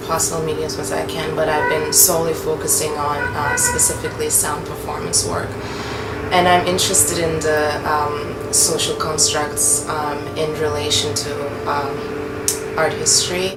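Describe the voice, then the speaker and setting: A woman's voice: deep voice, flat delivery, moderate pace. A woman from Turkey, a performance artist, talking hesitantly about her work with many ums. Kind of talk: interview talk about her art practice. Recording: echoey, distant mic with loud music behind.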